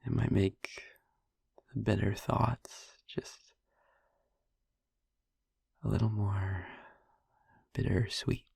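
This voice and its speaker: A man playing a gentle, wistful vampire boyfriend in an intimate audio roleplay, speaking tenderly and hesitantly in a husky, breathy voice. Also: steady tone, dry recording, clean studio sound, heavily acted. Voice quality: husky, breathy, gravelly low voice. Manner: tender, hesitant, slow with pauses. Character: gentle, wistful male vampire boyfriend, youthful, haunted by past. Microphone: close, dry microphone.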